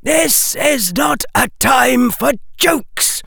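Man voicing a stern, high-pitched elderly witch. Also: animated, creaky, a bit bright, no echo, studio quality, heavily acted. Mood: stern, indignant. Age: older. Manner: formal, emphatic, measured diction. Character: stern elderly witch, grave and formal government official. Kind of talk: audiobook character dialogue.

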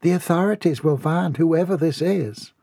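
A man's voice: a little high, moderate pace, vocal fry, dark tone, no echo, pitched above the performer's own voice. A man voicing a fretful, timid, motherly woman cook in a storybook. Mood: soothing. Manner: fretful, soothing.

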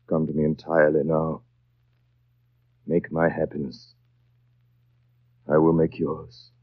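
Brooding gentleman, muffled vintage radio. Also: extremely low pitch, exaggerated voice. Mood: ardent, intense. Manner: theatrical, intense, declamatory. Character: brooding, imperious gentleman, tormented and passionately in love. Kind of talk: 1930s radio drama acting.

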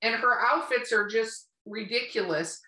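A woman podcast host chats casually with blunt opinions and plenty of swearing. Her voice is on the high side and very bright. It sounds roomy, with clear echo around it. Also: unhurried. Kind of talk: casual chat. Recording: roomy echo, distant from mic.

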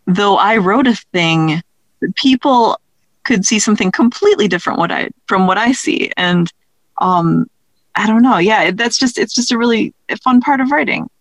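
A woman's voice: on the low side, dry recording. Casual, tentative woman guest, dry close sound. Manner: informal, tentative, thinking aloud. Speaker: audio drama writer, interview guest. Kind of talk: casual interview chat.

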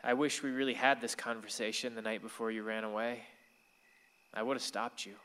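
A man playing a gentle, lovestruck sixteen-year-old boy in a radio play, earnest and tender in his delivery. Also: mid-range voice, flat delivery, brisk, smooth, close to an everyday voice. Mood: worried, pleading. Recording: long reverberant hall sound.

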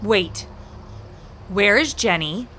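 Woman playing a lively, sharp teenage girl. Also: mid-range voice, slow, a little hiss or hum. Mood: alarmed. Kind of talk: horror audio drama acting.

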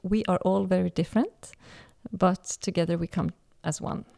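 A woman's voice: on the low side, fairly even intonation, normal speed, creaky, darkish tone. A woman in her forties from Sweden, speaking English with a Swedish accent as she hosts a casual podcast chat and leads the talk. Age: 40s. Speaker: podcast host.

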